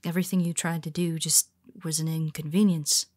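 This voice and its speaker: A woman's voice: low-pitched, little pitch movement, fairly quick, darkish tone, breathy edge. A woman playing a powerful, blunt but secretly vulnerable superhero in an intimate audio roleplay, speaking conversationally with hesitant asides. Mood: smug, matter-of-fact.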